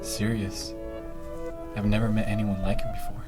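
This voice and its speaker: Actor as an aloof teen artist guy, low voice, deadpan, curt, music behind. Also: moderate pace, natural voice. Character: Paul, aloof, blunt teenage graffiti artist boy. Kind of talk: audio drama dialogue.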